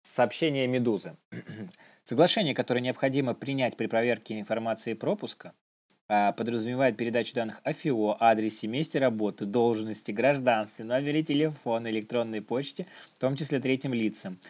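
A man's voice: medium pitch, brisk. A man co-hosting a news commentary show, reading items and joking casually. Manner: joking, laughs often.

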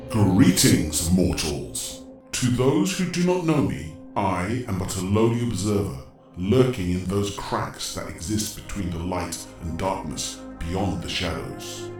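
Very deep, echoing sinister horror host. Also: male voice, expressive, a little slow. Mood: ominous, theatrical. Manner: ominous, florid, dramatic delivery. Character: sinister, theatrical horror host, an otherworldly male observer lurking in shadows. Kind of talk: gothic horror audio drama narration. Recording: cavernous echo, distant, loud music underneath. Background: loud music.

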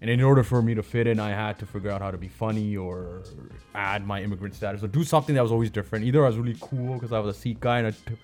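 A man's voice: on the low side, racing, a little mellow. Slangy Canadian man over faint music. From Brampton, Canada. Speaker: comedian and chef, interview guest. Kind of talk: casual chat, personal storytelling.

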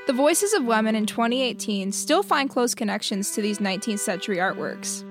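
A woman's voice: fairly high pitch, fairly quick, music in the background. A young woman, a student presenter, reading scripted audio guide narration evenly. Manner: even, read-aloud delivery.

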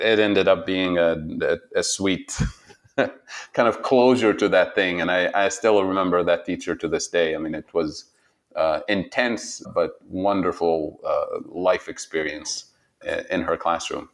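A man from Tunisia, telling personal stories in an interview, reflective and halting, often laughing softly. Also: deep voice, fairly even intonation.

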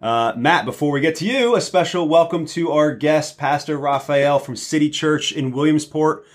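A man's voice: fairly high pitch, moderate pace. A man hosting a church podcast, opening the episode and welcoming guests in a friendly way. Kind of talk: casual chat.

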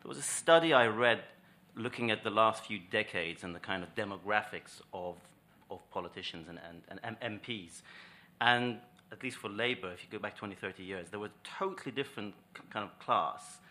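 A man's voice: lowish voice, fairly quick, pushed and bright. A man in a panel discussion, speaking thoughtfully with hedges and restarts.

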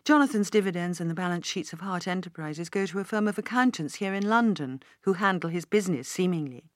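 A woman narrator plays a decisive businesswoman in an audiobook. She speaks with crisp assurance and a disdainful edge. The sound is close and dry. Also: medium pitch, dry recording, lightly acted. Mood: curt, disdainful. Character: poised, decisive businesswoman heir, firm and disdainful. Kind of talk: audiobook character dialogue.

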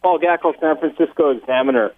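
A man's voice: fairly high pitch, fairly quick, no echo. A male newspaper reporter putting plain, direct questions on a press conference call. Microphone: telephone. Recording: tinny dry phone line.

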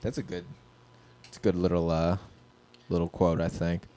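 A man's voice: low voice, level tone, moderate pace, warm tone. A man from Pennsylvania co-hosting a casual podcast, rambling with lots of fillers and swearing freely. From Pennsylvania, Poconos area. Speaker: podcast co-host.

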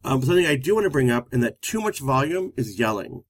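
A man with a loud voice who talks fast, co-hosting a live-streamed relationship talk show. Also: mid-range voice, breathy edge, no echo. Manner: fast-talking, energetic.

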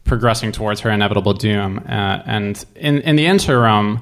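A young man telling a personal story casually, in a rambling, halting but animated way. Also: fairly low pitch.

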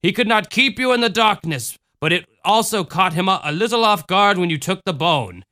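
Man playing a gentle motherly woman, solemn, slow and deliberate, slightly raised voice, bright tone, storybook. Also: sits high, voice pushed up. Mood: comforting. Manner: slow, calm, deliberate speech.

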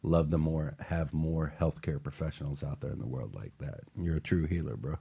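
A man's voice: rumbling low, moderate pace, vocal fry, dark tone, husky. A male talk show host in casual chat, encouraging and affirming his guest.

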